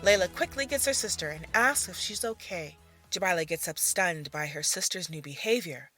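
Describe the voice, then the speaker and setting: A woman's voice: on the low side, lively intonation, normal speed, bright, forceful tone, faint music behind. A woman narrating a scripted audio drama as its storyteller.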